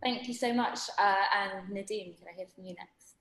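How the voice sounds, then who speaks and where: A woman's voice: mid-range voice, moderate pace, a bit bright, echoey room. A woman hosting an online panel webinar on a video call, chatty and full of fillers and restarts. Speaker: webinar host.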